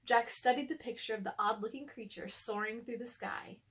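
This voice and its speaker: A woman's voice: fairly high pitch, moderate pace, fairly bright tone. A woman hosting a children's read-aloud, narrating a storybook expressively.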